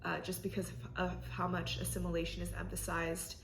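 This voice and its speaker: A young woman whose first language is Korean answers interview questions in a thoughtful, measured way, with frequent fillers. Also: on the low side, monotone, vocal fry, slight background noise. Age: young adult. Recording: roomy echo.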